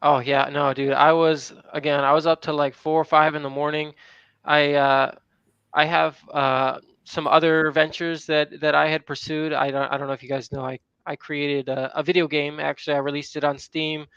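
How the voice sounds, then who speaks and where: Hesitant man with a smooth voice. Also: fairly high pitch, flat delivery, moderate pace, fairly bright tone, no room sound, muffled recording. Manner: hesitant, many fillers and restarts. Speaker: guest, game developer. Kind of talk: casual chat.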